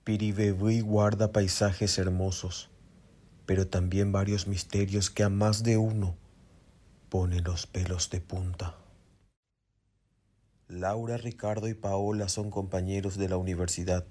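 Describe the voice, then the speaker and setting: A man narrates a suspense radio play in a low, very even voice with a dark tone. He, and faint ambience sits behind him. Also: a little slow. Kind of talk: scripted suspense drama narration.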